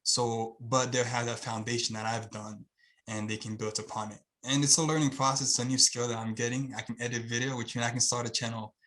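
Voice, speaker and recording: A man's voice: mid-range voice, flat delivery, a little fast, a bit bright. A man from Haiti with a Haitian accent, a guest talking on a video call in an earnest, rambling way with lots of fillers. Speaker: guest, channel owner. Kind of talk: casual video-call interview.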